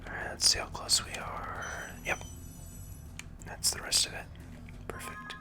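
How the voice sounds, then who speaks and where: A gaming video host giving hushed, relaxed ASMR commentary in a breathy, unvoiced whisper while playing. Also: a little slow, over music.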